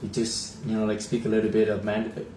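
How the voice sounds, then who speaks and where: A man's voice: lowish voice, monotone, fairly quick, roomy sound, background noise. A man co-hosting a casual chat, talking in a chatty, teasing way and often cutting in. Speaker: co-host.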